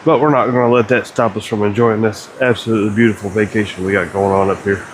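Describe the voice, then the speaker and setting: A man's voice: fairly low pitch, fairly even intonation, fast talker, slight background noise. A Midwestern American man with a stuffy head cold, narrating a casual outdoor travel vlog in an easygoing, upbeat way. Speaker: vlogger. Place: outdoors at a campsite and old mine site.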